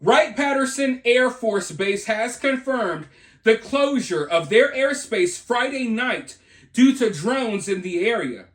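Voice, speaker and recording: Male online news commentator, high-pitched, emphatic delivery with dramatic phrasing, recorded in an echoey room. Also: expressive, takes it slowly, fairly bright tone. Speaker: host of a news commentary video channel.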